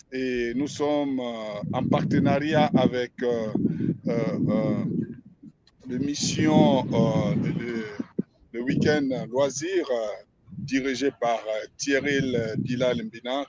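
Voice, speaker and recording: Male host for a radio interview, unhurried and halting, many fillers, dry muffled recording. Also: mid-range voice, a little mellow, no room sound. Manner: hesitant, many drawn-out fillers. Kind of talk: broadcast interview introduction. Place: radio station premises. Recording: dull lo-fi sound.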